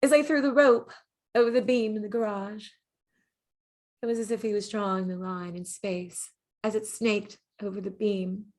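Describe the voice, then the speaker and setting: A woman playing the gentle ghost of an artist's lovelorn mistress, delivering a halting, wistful dramatic monologue in an audio play. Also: mid-range voice, steady tone, close to an everyday voice. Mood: tender, resigned. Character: dead gentle lovelorn woman, artist's former lover, remembering.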